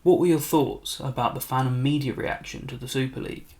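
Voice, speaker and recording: A male interviewer puts short, neutral questions to football fans. He talks quickly. His voice has a gravelly rasp. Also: mid-range voice, darkish tone.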